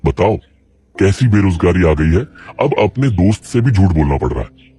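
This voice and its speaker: A man's voice: low voice, animated, normal speed, mellow, breathy edge. A man voicing a poor, worried village potter in a cartoon story. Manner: worried, plain-spoken. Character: poor struggling middle-aged male potter. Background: faint music.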